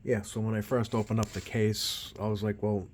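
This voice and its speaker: A man with a lowish voice talks through a hands-on repair as a technician would. His delivery is unscripted and halting, with thoughts that trail off and pick up again. A light layer of background noise sits under the voice. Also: level tone, darkish tone. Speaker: CB radio repair technician and channel host.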